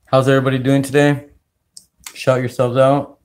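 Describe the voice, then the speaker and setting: A man from California hosting a casual livestream, talking slowly with many fillers as he greets viewers. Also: mid-range voice, little pitch movement. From California, United States. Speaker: livestream host. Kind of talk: casual livestream chat while sorting coins.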